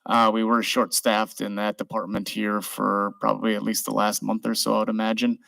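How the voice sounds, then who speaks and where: A man's voice: fairly low pitch, level tone, fairly quick, gravelly, fairly bright tone, dry recording, low-quality recording. A man chairing a city council meeting, speaking informally and giving thanks to city staff. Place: council chamber. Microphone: desk microphone.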